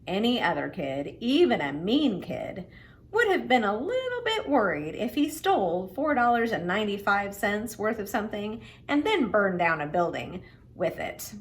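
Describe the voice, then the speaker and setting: A middle-aged woman reading to children in an expressive, simple, explanatory way. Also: mid-range voice, moderate pace. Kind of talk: children's read-aloud with friendly introduction.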